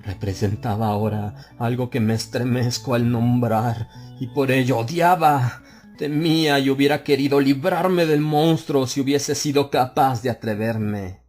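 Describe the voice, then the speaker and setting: Male narrator for a gothic horror audiobook, mid-pitched, brooding and deliberate, building to anguished peaks; light music bed. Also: darkish tone. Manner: slow, brooding confessional delivery that rises to anguished outcry. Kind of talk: dramatic audiobook reading of a horror tale.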